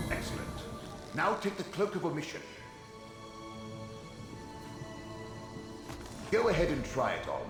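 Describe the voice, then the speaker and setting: Self-assured fussy wizard, theatrical, articulate, dry musing manner, animated intonation, ghostly long reverb, fantasy game. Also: male voice, on the high side. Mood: encouraging, pleased. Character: eccentric Telvanni wizard, male, self-assured and dryly fussy. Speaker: video game character. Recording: very echoey reverberant hall sound, music behind.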